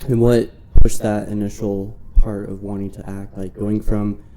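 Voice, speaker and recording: Mellow low-voiced male host. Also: little pitch movement, measured pace, a little hiss or hum, poor audio. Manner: friendly and easygoing, says "like" often. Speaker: podcast host. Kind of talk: casual interview chat.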